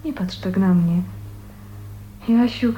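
Actress as soft, dark-voiced, sorrowful dying young woman. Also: medium pitch, takes it slowly, highs cut off, natural voice. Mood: resigned sorrow. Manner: hesitant, earnest, confiding. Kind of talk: 1980s radio drama acting. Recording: faint noise on radio recording.

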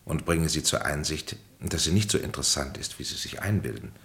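A very deep, grave psychiatrist. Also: male voice, expressive, creaky, fairly bright tone, heavily acted. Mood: calm, authoritative. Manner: measured, formal, weighty pronouncements. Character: grave, authoritative male psychiatrist, calm and oracular. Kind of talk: German radio play acting.

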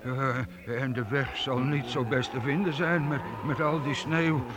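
Hesitant, worried actor as a humble gatekeeper. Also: male voice, medium pitch, steady tone, measured pace, a crowd in the background, close to an everyday voice. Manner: hesitant, deferential, repeating words. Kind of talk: radio play acting. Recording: noisy old radio play recording.

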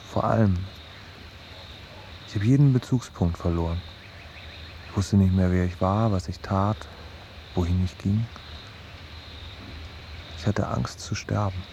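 A man's voice: lowish voice, fairly even intonation, measured pace, long pauses, mellow, slight background noise. A man in a radio drama playing an anxious, self-doubting man of forty-nine, brooding and confessional. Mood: anxious, subdued.

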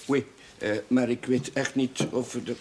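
A man's voice: mid-range voice, little pitch movement, normal speed, darkish tone, lightly acted. Nervous, stammering young chaplain, played by a man. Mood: flustered. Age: young adult. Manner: hesitant, stammering, apologetic. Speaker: actor in a television drama series. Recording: faint background noise, old television audio.

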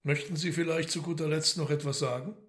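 An actor playing a commanding, coolly mocking devil in a German radio play, with measured, formal, ironic speech. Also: male voice, a little high, fairly even intonation, fairly bright tone, natural voice. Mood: imperious, probing.

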